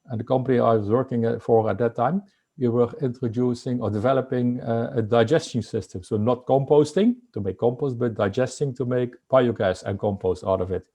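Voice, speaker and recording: A middle-aged man with a Dutch accent and a mellow, warm tone. He talks in a halting way, full of fillers and restarts, as a guest in an interview. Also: medium pitch. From Netherlands. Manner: hesitant, many fillers and restarts. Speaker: guest entrepreneur. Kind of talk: casual interview talk.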